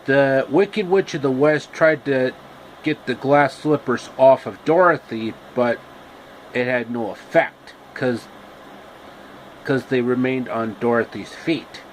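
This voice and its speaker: A man narrating a comic story casually, with halting, deliberate, improvised phrasing. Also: mid-range voice, slight background noise, poor audio.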